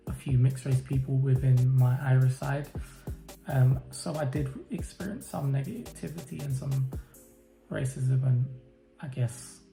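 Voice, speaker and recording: Deep gravelly man, halting and thoughtful. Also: mellow. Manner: hesitant, thoughtful, many pauses and fillers. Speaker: interviewee. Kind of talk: reflective personal interview answers. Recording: roomy echo, faint music behind.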